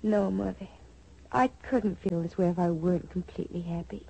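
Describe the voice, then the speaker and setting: An actress playing a devoted, trusting young grown daughter in a 1940s radio soap, earnest and affectionate. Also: female voice, lowish voice, fairly even intonation, normal speed, creaky, warm tone, slightly rough, poor audio.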